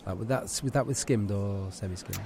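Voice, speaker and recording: A man's voice: fairly low pitch, brisk, vocal fry, darkish tone, voice pushed down. A man playing a meek, stammering coffee shop worker in an improvised comedy sketch, repetitive and over-apologetic but prone to sweary rage.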